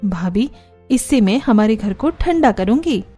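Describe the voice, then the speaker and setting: A woman's voice: mid-range voice, expressive, unhurried, mellow, breathy edge, soft background music. A woman voicing a resourceful, respectful young Indian daughter-in-law in a Hindi cartoon story. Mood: eager.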